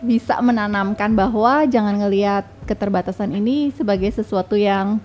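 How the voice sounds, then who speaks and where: Female guest, urban Jakarta accent, medium pitch, relaxed informal phrasing, explains ideas with some English mixed in. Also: moderate pace. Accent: urban Jakarta Indonesian. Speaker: company leader, interview guest. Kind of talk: casual explanatory chat.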